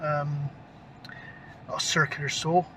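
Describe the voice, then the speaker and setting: A man's voice: a little high, flat delivery, takes it slowly, sharp tone. A British man in his fifties chatting casually and ramblingly to camera, with mild swearing. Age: 50s. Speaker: CB radio hobbyist, vlogger. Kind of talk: casual rambling vlog chat. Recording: outdoor camera mic with clear background noise.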